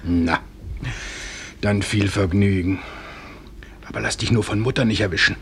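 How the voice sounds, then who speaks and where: Small-town father, middle-aged, low voice, genial, fatherly, radio drama, faint background noise. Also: steady tone, normal speed, creaky. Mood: gentle, fatherly. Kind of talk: German radio play acting.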